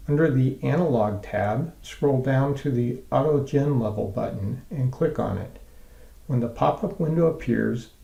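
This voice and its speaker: Guy reading out tutorial steps methodically, gravelly voice, bit of room echo. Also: medium pitch, warm tone. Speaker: software tutorial instructor. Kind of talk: scripted instructional narration.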